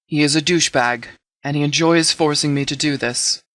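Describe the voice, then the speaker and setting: A man's voice: a little high, steady tone, normal speed, pushed and bright, dry recording. Man playing a stern, battle-weary military leader. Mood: firm, commanding. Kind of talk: video game dialogue acting.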